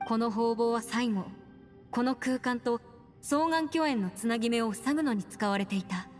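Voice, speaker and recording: A woman's voice: fairly high pitch, moderate pace, lightly acted. An actress playing a confident young woman scholar in an anime-style game, explaining things in an assured way. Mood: confident, hopeful. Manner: assured, explanatory, crisp phrasing. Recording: long reverb, music underneath.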